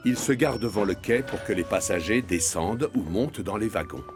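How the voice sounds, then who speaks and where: A man's voice: on the low side, moderate pace, over music. A man narrating a scripted children's cartoon story as the storyteller.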